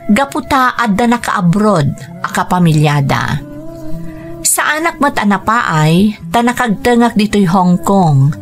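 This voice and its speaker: A woman playing a dutiful, hopeful younger sister who works abroad, in an Ilocano-accented radio drama style. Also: on the low side. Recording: radio drama with music behind.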